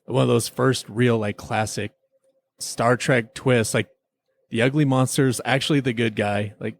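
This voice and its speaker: Creaky-voiced man chatting enthusiastically. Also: mid-range voice, steady tone, a little slow. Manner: enthusiastic, rambling fan talk. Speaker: podcast host. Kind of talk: casual episode review chat.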